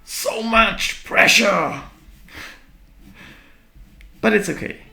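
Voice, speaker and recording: Gravelly man, slow drawing chat. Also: high voice, bright, forceful tone, reverberant, faint music behind. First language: German. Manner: halting, self-deprecating, thinking aloud while drawing. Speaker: artist. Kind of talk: live drawing commentary.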